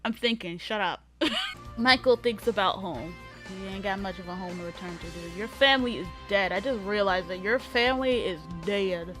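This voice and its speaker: A woman's voice: medium pitch, animated, normal speed, fairly bright tone. A woman hosting a gaming series with casual, sweary commentary, switching between mock-dramatic announcing and offhand asides. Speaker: host of a gaming channel series. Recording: music behind the voice. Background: music.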